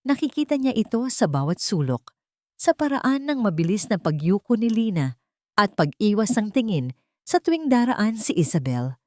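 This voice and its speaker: A woman's voice: mid-range voice, sing-song, moderate pace, warm tone, dry recording, clean studio sound. A woman with a Filipino accent reads a scripted dramatic audio story as its narrator.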